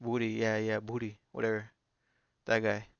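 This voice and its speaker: A man's voice: on the low side, monotone, a little slow, creaky, clear, resonant tone, no echo, lo-fi sound. A man in his thirties co-hosting a podcast, rambling confidently through his betting picks. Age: 30s. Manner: confident, rambling, repeats himself. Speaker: podcast co-host. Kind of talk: casual chat about sports bets.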